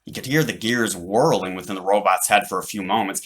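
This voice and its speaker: A man reading his own children's book aloud as an animated narrator. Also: fairly low pitch, a little fast, slightly rough.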